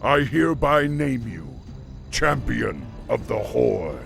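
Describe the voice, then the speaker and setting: An actor playing a noble, grave orc war chief in a video game, formal and measured in speech. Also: male voice, mid-range voice, very animated, creaky, fairly bright tone, faint music behind, full character voice. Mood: solemn. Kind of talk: video game cutscene acting.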